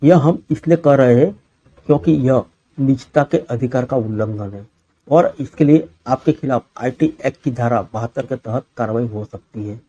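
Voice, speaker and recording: A man's voice: mid-range voice, normal speed, warm tone, no echo, lo-fi sound. A man reads a scripted news bulletin as the presenter.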